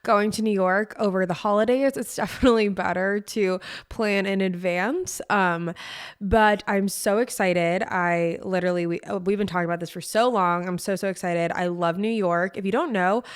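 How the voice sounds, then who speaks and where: A woman's voice: mid-range voice, fairly even intonation, normal speed, clear, resonant tone. Chatty, effusive young American woman podcaster. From Connecticut, US East Coast. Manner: effusive, informal, emphatic repetition. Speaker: podcast host. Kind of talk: casual chatty solo podcast.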